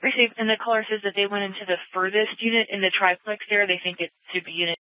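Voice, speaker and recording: A man's voice: high-pitched, fairly even intonation, quick pace, no echo. A man working as a police dispatcher, speaking in clipped procedural phrases over a police radio. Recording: tinny, band-limited police scanner radio.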